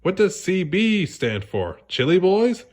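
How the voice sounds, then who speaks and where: A man voicing an eager, earnest young sea sponge in an excitable, emphatic storybook character voice. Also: high voice, slow, voice pushed up, heavily acted. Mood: surprised.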